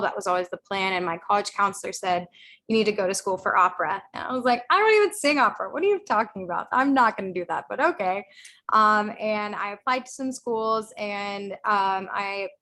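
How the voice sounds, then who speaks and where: A woman's voice: mid-range voice, bright, forceful tone. A young adult woman from Texas, an interview guest telling stories in a casual, chatty way. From Houston, Texas, United States. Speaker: guest singer-songwriter.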